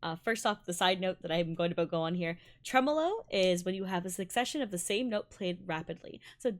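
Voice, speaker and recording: A young adult woman whose first languages are Malayalam and Tamil, a violinist giving a bubbly, excitable casual breakdown of music and laughing often. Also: on the low side, a little fast. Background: faint music playing.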